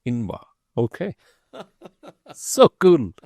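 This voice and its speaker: A man's voice: mid-range voice, wildly swooping pitch, slow, gravelly, darkish tone, no echo, studio quality. A man hosting an interview podcast, asking questions and adding brief affirmations. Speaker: podcast host. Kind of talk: conversational interview.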